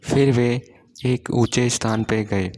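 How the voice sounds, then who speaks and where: A man's voice: mid-range voice, steady tone, slow pace, a little mellow. A man narrating a scripted children's story.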